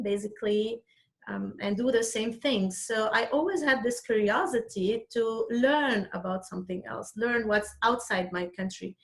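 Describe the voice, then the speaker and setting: Higher-voiced Lebanese-accented woman. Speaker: interview guest. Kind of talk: conversational interview answers.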